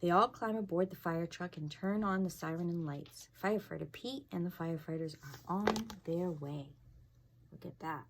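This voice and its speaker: A low-voiced woman with a creaky edge reads a picture book aloud for children. Her delivery is animated and expressive, and she often steps out of the text to chat and ask questions. Some noise sits behind her voice. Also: normal speed. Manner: expressive, asking the young listeners questions. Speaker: fire inspector reading a children's book. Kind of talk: read-aloud storytelling with casual asides to children.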